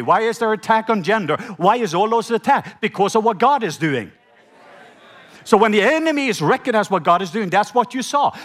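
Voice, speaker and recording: A middle-aged man from Norway with a Norwegian accent, preaching a sermon. He slows down to read scripture and has the congregation repeat key words back. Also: high-pitched, fairly bright tone. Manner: slows down when reading scripture, prompts the audience to repeat words. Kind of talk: sermon with scripture reading and call-and-response.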